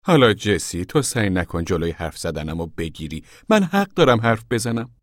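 A man's voice: low voice, very animated, no room sound, studio quality. A man voicing a proud, outspoken poor woman in a story, insistent, emotional and bitter.

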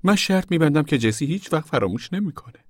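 A man's voice: on the high side, lively intonation, no echo, studio quality, voice pushed up. A man voicing a proud, outspoken poor woman in a story, insistent, emotional and bitter. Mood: earnest.

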